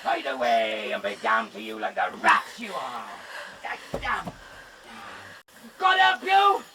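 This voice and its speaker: An actor playing a brutal, drunken sea captain in a radio drama, shouting and laughing cruelly. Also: male voice, mid-range voice, huge pitch swings, slow, gravelly, distant mic, background noise, exaggerated voice. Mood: raging.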